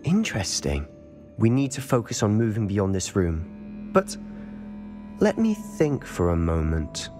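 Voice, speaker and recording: Low, expressive, determined young wizard. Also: male voice, unhurried, long pauses, soft background music. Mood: focused, conspiratorial. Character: determined, secretive, reckless teenage male wizard student. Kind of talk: scripted fantasy game acting.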